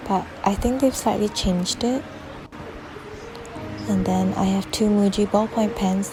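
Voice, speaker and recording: A young woman vlogging in a relaxed, chatty way, explaining things step by step from her desk at home. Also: mid-range voice, gravelly. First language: English. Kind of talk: casual vlog narration. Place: small room at home, at a desk. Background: background music.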